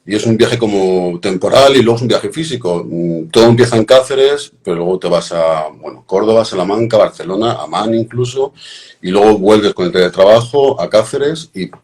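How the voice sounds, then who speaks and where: Male host with a very low voice, calm and inquisitive in conversation. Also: normal speed. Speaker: host, interviewer. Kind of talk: conversational interview.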